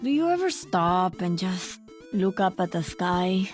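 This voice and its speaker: A woman voicing a teasing, self-assured hacker in a video game, sly and deadpan. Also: on the low side, unhurried, faint music behind. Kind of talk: video game character voice lines.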